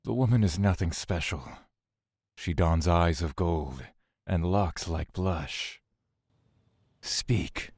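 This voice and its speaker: An actor playing a stern, dutiful god of death in a fantasy audio drama, speaking in a stiff, curt, commanding manner. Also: male voice, deep voice, slow, darkish tone, slightly rough, no echo, clean studio sound, exaggerated voice. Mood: cold, impatient.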